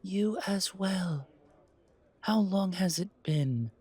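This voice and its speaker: A man voicing a courtly, wary, centuries-old vampire in a roleplay audio drama, speaking in a measured, formal, breathy way. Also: high voice, dark tone, exaggerated voice. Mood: amused, polite. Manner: measured, formal, politely dry.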